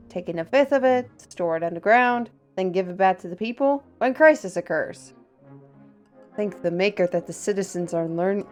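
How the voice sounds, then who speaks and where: A woman's voice: on the low side, a little mellow, natural voice. A woman playing a talkative, worldly steward in a fantasy audio roleplay, with a wry, teasing and chatty manner. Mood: explanatory. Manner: chatty, teasing, addresses listeners as lass and lad. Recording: music behind the voice. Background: music.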